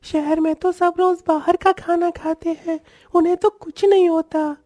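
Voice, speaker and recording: A woman's voice: extremely high pitch, level tone, unhurried, mellow, no room sound, studio quality. A woman playing a stubborn, whining young boy who pleads with his parents in a story. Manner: whiny, pleading.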